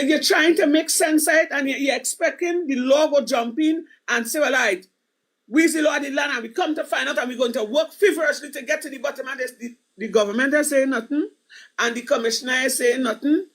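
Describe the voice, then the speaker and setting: Woman, 80s, Trinidadian accent, very high voice, rambling, repetitive, stream host. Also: a bit bright. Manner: rambling, repeats words, affectionate sign-offs. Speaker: livestream show host. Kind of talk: casual live stream chat.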